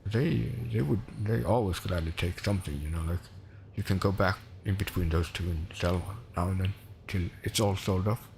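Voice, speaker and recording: Swedish-accented male streamer, low voice, chatty and rambling, faint hum behind. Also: normal speed, vocal fry, darkish tone. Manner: chatty, rambling, self-correcting. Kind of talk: casual gameplay commentary.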